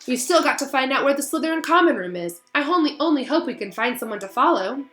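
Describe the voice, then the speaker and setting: Woman doing bossy careful schoolboy wizard, urgent, bright voice, echoey, storybook reading. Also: sits high, expressive, quick pace, pitched above the performer's own voice. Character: cautious, take-charge schoolboy wizard. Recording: roomy echo, faint music behind.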